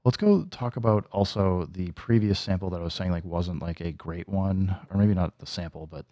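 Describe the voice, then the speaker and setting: A man's voice: sits low, moderate pace, no room sound, lo-fi sound. A man casually teaching music gear hands-on, thinking aloud informally as he demonstrates. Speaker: music gear tutorial host. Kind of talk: casual hands-on software tutorial.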